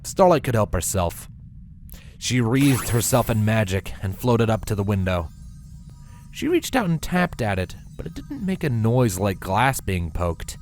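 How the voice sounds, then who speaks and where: A man narrating a scripted story with a dramatic, expressive delivery. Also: fairly low pitch, measured pace, soft background music.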